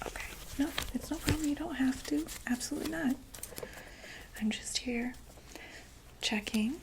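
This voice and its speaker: A woman whispering softly and breathily in a gentle, reassuring ASMR medical roleplay, speaking close to the microphone. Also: high-pitched, normal speed, vocal fry, background noise. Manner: gentle, reassuring, hesitant check-up patter. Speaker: ASMR roleplayer playing a doctor for monsters.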